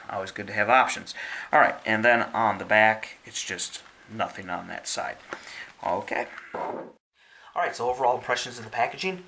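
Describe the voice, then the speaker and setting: A man giving casual unboxing narration as a product reviewer, explaining things in a measured, step-by-step way. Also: on the low side, pushed and bright. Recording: faint background hiss.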